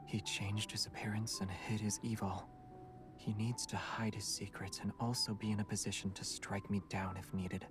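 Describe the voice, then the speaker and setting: An actor playing a guarded, secretive young starship captain, speaking softly and in a hush. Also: male voice, lowish voice, flat delivery, normal speed, over music, close to an everyday voice.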